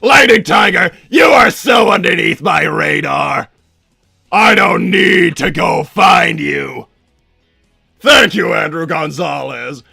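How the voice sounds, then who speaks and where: A man voicing a bombastic middle-aged mad-scientist villain and doting father, with a raspy, gravelly voice and a theatrical, blustering manner, improvising on a livestream. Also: sits high, animated, takes it slowly, sharp tone, raised voice, faint music behind, exaggerated voice. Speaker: livestream host in character. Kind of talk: improvised in-character livestream Q&A, reading out viewer messages.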